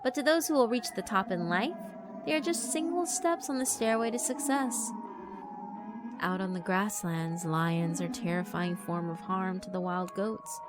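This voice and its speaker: A woman's voice: medium pitch, moderate pace, natural voice. A woman voicing a sweet, persuasive female figure who praises the bullies' cruelty. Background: background music.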